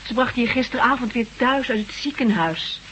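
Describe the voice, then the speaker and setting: A brisk, caring wife, coaxing and reassuring, with a highish, very lively voice. It is radio drama acting from an old recording. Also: female voice, slight background noise, poor audio. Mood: patient, concerned. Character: solicitous, brisk wife tending her confused husband. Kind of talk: Dutch radio play acting.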